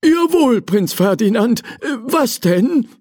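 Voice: medium pitch, sing-song, darkish tone, no room sound, studio quality, voice pushed up. Narrator doing a polite old servant. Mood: dutiful. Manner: polite, formal, slow. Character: older kind male servant, loyal and gentle.

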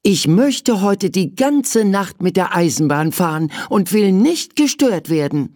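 A narrator voicing a young boy prince who is playful and stubborn, in a high childlike voice. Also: very animated, measured pace, darkish tone, dry recording, studio quality. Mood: bossy. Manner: demanding, then whiny.

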